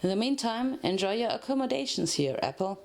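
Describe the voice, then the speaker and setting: Formal male pony interrogator read by a woman, measured, cold and menacing, cartoon fanfiction. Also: a little high, sing-song, creaky. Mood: coldly threatening. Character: cold, formal grey stallion professor, interrogator. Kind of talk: dramatic fanfiction reading of a cartoon.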